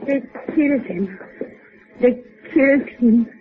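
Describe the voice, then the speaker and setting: An actress playing a grief-stricken, frightened Cuban mother in a 1950s radio melodrama, speaking halting, formal English. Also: a little high, very noisy. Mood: shocked grief. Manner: slow, halting, formal English without contractions. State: distraught. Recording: narrow-band old 1950s radio, faint music.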